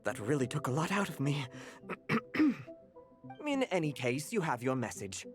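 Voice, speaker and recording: A man's voice: mid-range voice, sing-song, moderate pace, faint music behind, natural voice. A man voicing a fussy, loyal cat butler in a video game, with a haughty, grumbling manner. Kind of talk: video game character acting.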